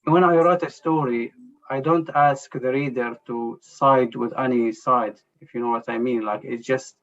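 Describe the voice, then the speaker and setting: A man answers interview questions in a gravelly, creaky voice. He talks haltingly, restarting often and leaning on "you know". The sound is dull and lo-fi. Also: medium pitch, fairly even intonation. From Jerusalem. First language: Arabic. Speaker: journalist guest.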